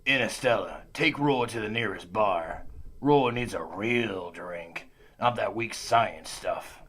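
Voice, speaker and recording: A man's voice: on the low side, slow, a bit bright, husky, heavily acted. A man playing a dim, reckless, self-satisfied oaf with blunt, simple speech in a comic radio play.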